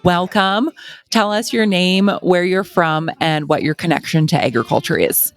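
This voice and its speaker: A woman's voice: on the low side, normal speed, faint music behind. A woman, speaking as a podcast host and interviewer.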